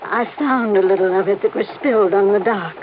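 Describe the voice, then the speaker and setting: A woman's voice: medium pitch, fairly quick, noisy background, natural voice. An actress playing an anxious, earnest older woman held captive in an old-time radio crime drama, pleading in manner. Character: frightened, earnest older female captive, kind-hearted. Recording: tinny vintage radio recording.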